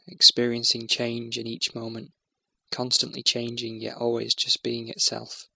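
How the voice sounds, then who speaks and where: A man guides a meditation in a measured, soothing manner. His pitch stays very level, and there is a noticeable creak in the voice. The sound is close and very dry, as he gives gentle step-by-step instruction. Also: no room sound.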